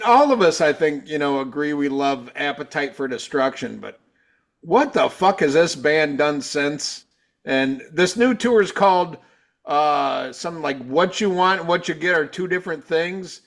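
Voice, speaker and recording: A man's voice: a little high, expressive, unhurried, a bit bright. A man in his fifties hosting a casual rock podcast, blunt and laid-back, swearing freely. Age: 50s. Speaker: podcast host. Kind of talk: casual chat.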